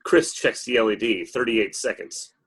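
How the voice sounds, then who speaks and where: A man's voice: lowish voice, steady tone, moderate pace, fairly bright tone, slightly rough. A man reading stage directions as dramatic scripted narration at a table read.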